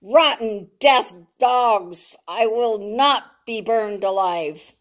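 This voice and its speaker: A woman's voice: a little high, drawn-out words. A fiery young girl from an audiobook story, acted out by a woman narrator. She speaks emphatically and defiantly, with a hot temper under her words. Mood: furious defiance. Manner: emphatic, frightened, shouting at enemies.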